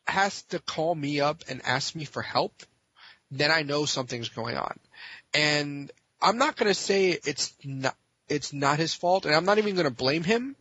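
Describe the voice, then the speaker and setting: A man's voice: on the high side, bright, forceful tone, slightly rough, no echo, highs cut off. A man talking casually and passionately as a podcast guest, blunt and emphatic, urging listeners on. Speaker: podcast guest, gaming tournament organizer. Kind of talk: impassioned casual opinion talk.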